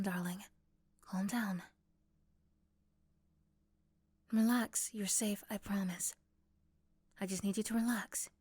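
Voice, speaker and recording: A woman's voice: mid-range voice, slightly rough, dry recording, voice pushed down. A woman voicing a possessive yandere girlfriend in an ASMR roleplay, coaxing and pleading with tender pet names. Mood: soothing, coaxing.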